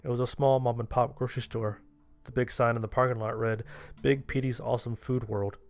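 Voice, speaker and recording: A man acting as an anxious young male survivor tells his horror tale in the first person. His delivery is plain-spoken and very even in pitch, at a middling male register, with music underneath. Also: brisk, lightly acted. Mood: narrating. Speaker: narrator. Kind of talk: first-person horror story narration with dialogue. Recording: music behind the voice.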